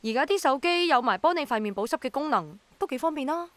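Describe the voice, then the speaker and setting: A woman's voice: high voice, expressive, fast, dry recording. An actress with a Hong Kong Cantonese accent playing a practical, sceptical and easily puzzled young city woman in a radio drama. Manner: hesitant, questioning, matter-of-fact.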